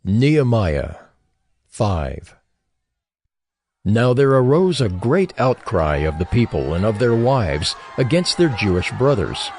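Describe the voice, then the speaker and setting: A man with a husky voice giving scripted, dramatic scripture narration. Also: lowish voice, big pitch swings, takes it slowly, a little mellow.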